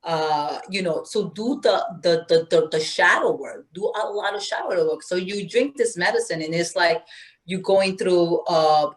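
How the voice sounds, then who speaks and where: A woman's voice: fairly low pitch, a little slow. Earnest woman from Peru sharing stories. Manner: earnest, spiritual, animated, acts out quoted voices. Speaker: guest. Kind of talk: candid conversational storytelling.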